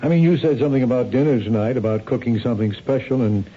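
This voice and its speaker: A mild, easygoing husband played by a man, gentle and hesitant in a radio play. His mid-pitched voice is very mellow, on a muffled old recording. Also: fast, slight background noise, natural voice. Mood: mild, probing. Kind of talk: radio mystery drama acting.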